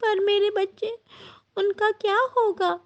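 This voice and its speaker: A woman's voice: very high voice, fairly even intonation, takes it slowly, a little mellow, lo-fi sound, pitched above the performer's own voice, full character voice. A woman voicing a worried, pleading mother sparrow in a cartoon story. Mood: anxious.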